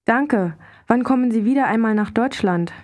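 A woman speaking carefully in a language course, modeling phrases slowly and clearly for learners. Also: fairly high pitch, darkish tone. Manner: slow, careful model pronunciation. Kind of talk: scripted instructional dialogue.

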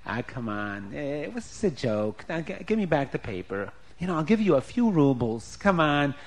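A man's voice: on the high side, big pitch swings, moderate pace. A man voicing a smug joking businessman who blusters, stammers and wheedles, in an oral story. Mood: wheedling.

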